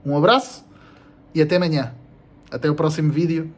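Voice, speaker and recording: A young adult man with a European Portuguese accent speaks casually to camera as a video creator. His delivery is animated, blunt and slangy, with swearing and emphatic repetition. He records himself at arm's length in a small room at home. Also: a little high, brisk. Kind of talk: casual vlog announcement to camera.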